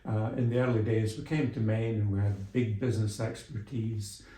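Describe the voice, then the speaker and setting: A man's voice: lowish voice, fairly even intonation, moderate pace, warm tone. A middle-aged or older man being interviewed, explaining things through anecdotes in a conversational, somewhat hesitant way. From Scotland and England. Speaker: interview guest, small business mentor and executive coach. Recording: roomy, echoing sound.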